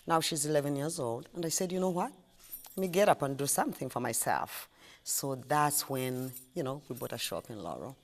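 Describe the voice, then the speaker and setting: A woman's voice: low-pitched, expressive, fairly quick. A middle-aged woman from Uganda with a Ugandan accent, chatting as an interview guest and repeating phrases for emphasis. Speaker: interview guest, ice cream shop owner. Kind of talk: casual interview answers.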